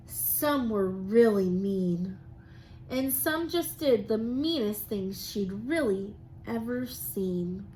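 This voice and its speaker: A woman's voice: mid-range voice, a little mellow, slight background noise, low-quality recording. A woman, an elementary teacher reading and chatting to young children, often asking them questions and affirming their answers. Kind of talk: children's read-aloud and drawing lesson.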